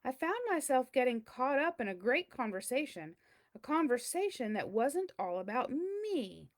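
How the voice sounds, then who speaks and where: Woman voicing a theatrical, high-pitched hunk of cheddar. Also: sing-song, normal speed. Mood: reflective, earnest. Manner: theatrical, emphatic, exclamatory. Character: boastful big cheddar cheese learning humility, dramatic storyteller. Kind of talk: first-person picture-book read-aloud.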